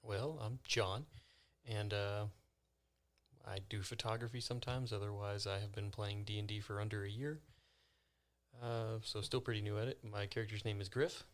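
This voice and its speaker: A man who is new to tabletop games, talking casually and a bit haltingly as a player. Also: fairly low pitch, fairly even intonation. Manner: hesitant, halting. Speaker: novice player on a tabletop game podcast. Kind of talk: casual self and character introduction.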